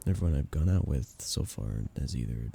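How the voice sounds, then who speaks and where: A young man acting a flustered best friend with a hidden crush in an intimate ASMR romance roleplay, soft-spoken, casual and hesitant. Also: extremely low pitch, expressive, brisk, vocal fry, slightly rough, full character voice. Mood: awkward, evasive.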